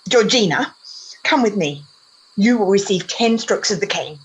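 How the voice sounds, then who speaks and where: A woman's voice: medium pitch, animated, normal speed, slightly rough, rough recording. A young girl playing a stern, cruel schoolmistress in an amateur radio play, imperious and scolding. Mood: cold, severe. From Australia. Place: at home over a video call.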